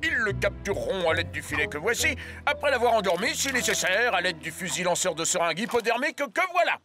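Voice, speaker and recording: A man's voice: sits high, big pitch swings, quick pace, music in the background. A man voicing an officious, self-assured police commissioner in a French children's cartoon, giving formal briefings. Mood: officious, confident.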